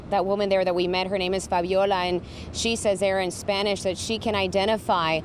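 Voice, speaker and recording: A woman TV news reporter giving a steady, measured live field report. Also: medium pitch, slight background noise. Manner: steady and measured, briefing viewers on the latest.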